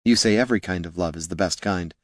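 A man's voice: sits low, quick pace, dry recording, studio quality, lightly acted. A man voicing a proud, dismissive woman who is curt and easily exasperated in an audiobook. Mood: scornful. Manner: curt, scornful.

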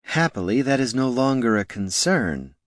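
A man voicing a smug, formal gnome mercenary commander with measured, polite menace in an audiobook. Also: mid-range voice, sing-song, no echo, studio quality, pitched above the performer's own voice, natural voice. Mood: smug, menacing.

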